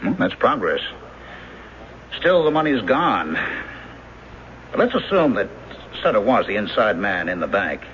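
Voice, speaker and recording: An actor in a radio drama playing a skeptical police lieutenant, questioning in a measured, probing manner. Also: male voice, fairly low pitch, very animated. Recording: dull hissy old radio recording.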